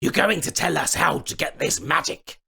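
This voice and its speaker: A man's voice: on the high side, normal speed, vocal fry, husky, voice pushed up, heavily acted. A man voicing a blustering, bossy, indignant goblin king in a children's storybook. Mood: threatening. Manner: indignant, shouting.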